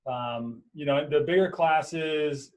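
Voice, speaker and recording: A man from Montana, an engineering professor, answering questions in a relaxed, hesitant way on a video call. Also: fairly high pitch, takes it slowly. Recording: dull lo-fi video call audio.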